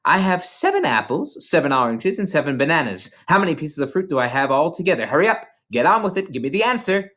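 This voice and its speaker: A reader voicing a huge, bullying female headmistress who barks insults and shouts. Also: mid-range voice, big pitch swings, fast talker, hardly any pauses, voice pushed up.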